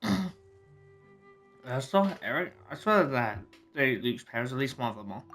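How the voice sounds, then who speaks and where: A man with a medium-pitched voice reacts casually as he streams a video game. His commentary is rambling, with muttered asides, and faint music sits behind him. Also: big pitch swings, measured pace, fairly bright tone. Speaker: gaming streamer.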